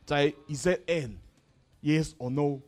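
A man hosting lively quiz-show banter in a teasing, jokey manner. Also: fairly high pitch, very slow, dry recording, faint music behind. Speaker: game show host.

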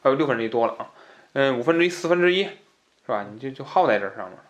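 A man with a mid-pitched voice presents as a podcast host. He explains in an informal, conversational manner, wandering into asides and repeating phrases to drive points home. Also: animated, rapid-fire, a bit bright. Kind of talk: informal explanatory book talk.

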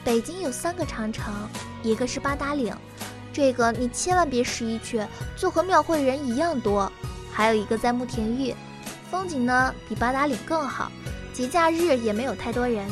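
A chatty young student girl, really high voice, radio drama with music under it. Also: lively intonation, normal speed. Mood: cheerful, chatty. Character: lively young female university student. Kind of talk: Chinese radio drama acting. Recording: music behind the voice.

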